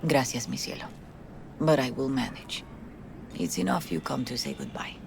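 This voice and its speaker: A woman playing a grieving middle-aged Mexican mother in a video game, gentle, dignified and maternal, slipping into Spanish endearments. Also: rumbling low, normal speed, vocal fry, a little hiss or hum, exaggerated voice. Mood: tender, subdued. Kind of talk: cinematic video game acting.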